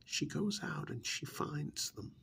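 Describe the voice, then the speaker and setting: A man playing a smug, menacing agent of a vampire in a soft-spoken ASMR roleplay, with a breathy, gravelly half-whisper and deliberate, condescending delivery. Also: on the high side, animated, a little hiss or hum, exaggerated voice. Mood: patient, explaining. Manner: slow, deliberate, condescending, with pauses. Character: smug, menacing vampire's male agent interrogating a captured hunter.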